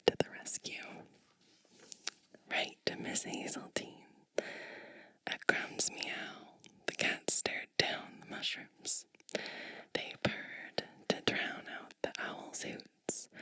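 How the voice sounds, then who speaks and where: A woman whispers a children's storybook in an ASMR style. Her breathy whisper is bright and airy, and she takes it very slowly, lingering gently on phrases and slipping in soft asides about the pages. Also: no room sound. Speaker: ASMR channel host reading a picture book.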